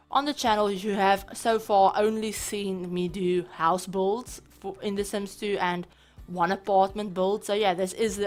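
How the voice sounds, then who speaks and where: Hoarse, chatty woman gamer. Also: mid-range voice. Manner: chatty, apologetic, lots of fillers. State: hoarse, raspy voice. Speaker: gaming channel host. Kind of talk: casual voice-over commentary. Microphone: home voice-over mic.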